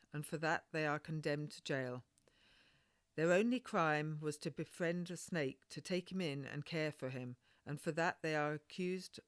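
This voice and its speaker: A woman's voice: low-pitched, clear, resonant tone, no room sound. Woman playing a firm, pious midwife. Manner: firm, admonishing, archaic phrasing. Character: stern, pious adult woman midwife, guarded, proud.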